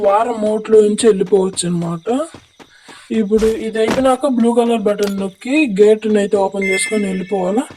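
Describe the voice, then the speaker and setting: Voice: mid-range voice, background noise. A gaming creator with a Telugu accent and a voice changer effect, giving instructional, repetitive gameplay tutorial commentary. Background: music.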